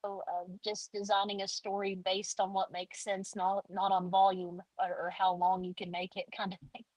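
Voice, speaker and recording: A woman's voice: medium pitch, little pitch movement, moderate pace, darkish tone, no room sound, rough recording. A woman guest author chatting in an interview, hesitant, with many fillers. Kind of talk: casual interview chat.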